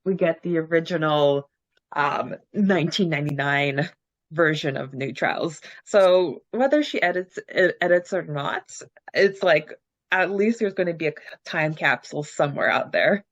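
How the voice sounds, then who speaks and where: Woman podcast co-host with a low voice and a dry, dull-toned recording. Chatty and upbeat, reading fan questions aloud. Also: moderate pace, no room sound. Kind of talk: casual chat and reading listener questions aloud.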